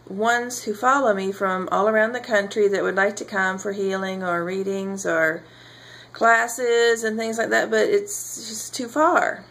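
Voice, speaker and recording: A woman speaking informally as a spiritual teacher announcing classes, pausing often and drawing out words in halting phrases. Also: mid-range voice, creaky, fairly bright tone. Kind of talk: informal spoken announcement to camera or followers.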